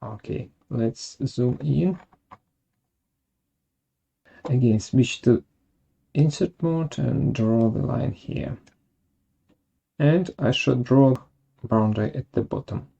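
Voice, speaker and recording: Slow, husky, creaky software tutorial presenter. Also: medium pitch, dull audio. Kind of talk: step-by-step software tutorial narration.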